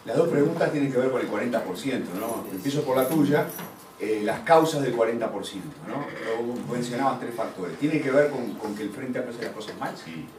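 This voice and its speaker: A man's voice: mid-range voice, expressive, brisk. A man with a Uruguayan accent speaking off the cuff as a forum panelist, often asking questions and answering them himself. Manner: rhetorical, asks and answers own questions. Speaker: political scientist panelist. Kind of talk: extemporaneous answers at a public forum. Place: lecture hall at a business school. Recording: echoey room, distant mic, noisy.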